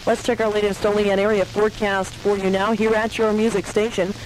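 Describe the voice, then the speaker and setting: A woman's voice: mid-range voice, little pitch movement, brisk, poor audio. A woman disc jockey reading announcements and weather on 1980s local radio. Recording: 1980s radio aircheck, faint noise.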